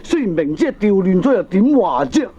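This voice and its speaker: A man's voice: high voice, very animated, a little fast, warm tone, breathy edge, heavily acted. An actor playing a flustered, evasive father in a Hong Kong Cantonese comic radio drama, whiny and sighing with exasperation. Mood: whining, scheming. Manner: whiny, exasperated, full of sighs. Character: flustered, evasive father, a boozy blustering schemer. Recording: dull 1980s audio cassette recording.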